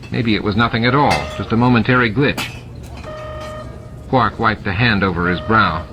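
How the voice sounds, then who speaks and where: A man narrating scripted fiction as an audiobook reader. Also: lowish voice. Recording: music and sound effects mixed under narration.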